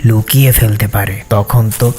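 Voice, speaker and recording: A man playing a wicked, greedy, scheming fox in a cartoon fable, with a menacing, taunting, drawn-out delivery. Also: fairly low pitch, fairly even intonation, darkish tone, faint music behind, close to an everyday voice.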